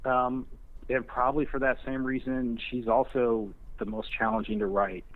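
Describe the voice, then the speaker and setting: A man giving casual interview answers as a guest screenwriter, halting and thinking aloud with many pauses. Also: medium pitch, flat delivery, phone-quality sound.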